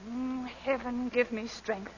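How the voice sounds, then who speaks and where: An actress playing a haughty, sharp-tongued English duchess in an old radio drama, indignant in manner. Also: female voice, fairly high pitch, little pitch movement, takes it slowly, husky. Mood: exasperated. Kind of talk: 1940s radio drama acting. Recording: dull lo-fi old radio recording.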